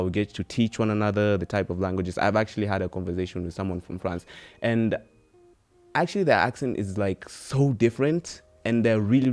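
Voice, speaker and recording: A young man from Kenya answering interview questions in a loose, chatty way, often checking in with "right?". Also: lowish voice. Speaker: guest.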